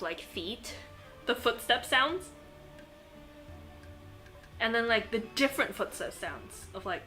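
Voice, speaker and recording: A woman chatting casually and enthusiastically as she plays a video game, excitable and giggly in manner. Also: medium pitch. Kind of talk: casual gameplay commentary, chatting.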